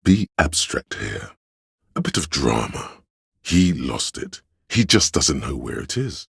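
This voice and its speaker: A sly, flamboyant courtier of a video game, an inner voice devoted to drama. The voice is extremely deep and very husky, with gravel in it. He addresses his lord in slow, arch, theatrical phrases. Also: big pitch swings, a bit bright, no echo, clean studio sound, full character voice. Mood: conspiratorial. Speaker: game voice actor.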